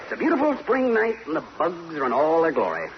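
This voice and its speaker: Wisecracking sportswriter sleuth, lively pitch. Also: male voice, fast. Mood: sardonic, gleeful. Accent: American. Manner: wry, teasing. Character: wisecracking, self-assured sportswriter turned amateur sleuth. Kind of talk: old-time radio crime drama acting. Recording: noisy, dull old radio recording.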